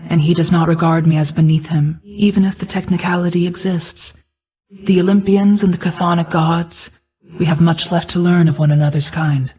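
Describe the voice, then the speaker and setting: Woman doing a regal ancient night goddess for a video game, solemn guarded mom, very formal n measured, stately. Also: lowish voice, darkish tone, natural voice, poor audio. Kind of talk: scripted game character dialogue.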